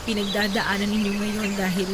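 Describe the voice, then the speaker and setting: A woman's voice: medium pitch, little pitch movement, moderate pace, heavy background noise, close to an everyday voice. An actress playing a caring adult daughter with a Filipino accent, earnest and respectful as she pleads in an audio drama. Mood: earnest pleading. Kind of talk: Tagalog radio-style drama acting. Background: loud music bed.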